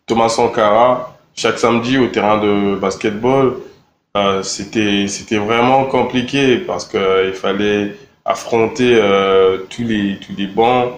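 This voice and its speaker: A young man from Congo answering interview questions in a relaxed, halting way, with many fillers and repeated words. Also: lowish voice, slight background noise. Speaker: rapper interviewed. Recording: echoey room with faint ambience.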